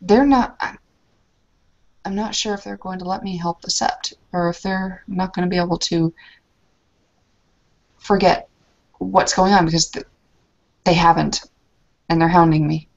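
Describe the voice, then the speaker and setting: A woman's voice: on the low side, steady tone, a little slow, vocal fry, pauses often. Anxious rambling woman, lo-fi. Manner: anxious, rambling, many restarts. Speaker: player in a tabletop roleplay. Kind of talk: in-character roleplay conversation. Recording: lo-fi muffled recording.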